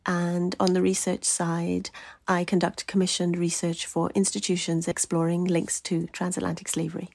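A woman researcher and writer from Trinidad, answering interview questions in a measured, earnest way. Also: on the low side, completely flat delivery, creaky, a little mellow, dry recording.

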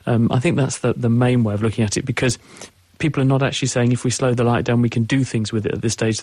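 A man's voice: mid-range voice, a little mellow, dry recording. A man on a radio call-in show, patiently explaining science to callers in a studio. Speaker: science guest expert.

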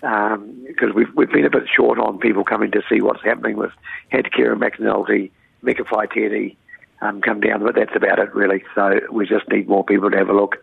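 Croaky New Zealand man on phone. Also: lowish voice, fairly even intonation, a little fast, no room sound. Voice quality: croaky, gravelly voice. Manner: earnest, rambling, repeats and restarts words. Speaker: town mayor interviewed by phone. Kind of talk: phone interview. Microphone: phone line. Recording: tinny phone line, dry.

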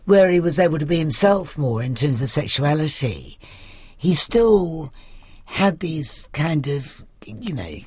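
A woman's voice: low voice, very animated, unhurried, a little mellow, breathy edge, dry recording, clean studio sound. A woman interviewing a guest in a conversational way.

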